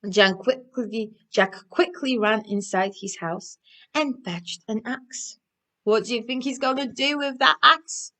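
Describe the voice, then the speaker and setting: A woman presenting stories and crafts to young children in an animated, encouraging way, often asking them questions. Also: fairly high pitch, measured pace. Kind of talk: interactive children's storytelling and instruction.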